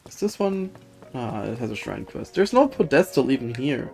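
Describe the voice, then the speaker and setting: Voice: mid-range voice, sing-song, moderate pace, gravelly, a little mellow. A streamer giving casual, dry and wry live commentary while playing a game and reading its dialogue aloud. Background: faint game music.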